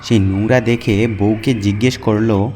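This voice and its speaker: A man's voice: on the low side, fairly even intonation, unhurried, mellow. A man reading scripted narration as the storyteller of a folk tale. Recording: music behind the narration.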